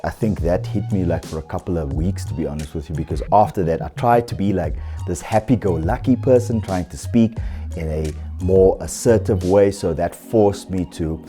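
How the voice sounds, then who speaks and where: A South African man talking through his channel mistakes in a measured, earnest, fairly monotone way, with small restarts. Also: sits low, faint music behind. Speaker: YouTuber. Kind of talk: reflective vlog talking to camera.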